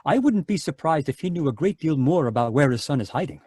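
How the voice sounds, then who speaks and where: A man's voice: on the high side, very animated, quick pace, a little mellow, slightly rough, dry recording. A man playing a worried, earnest psychiatrist in an old-time radio drama. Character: anxious male psychiatrist.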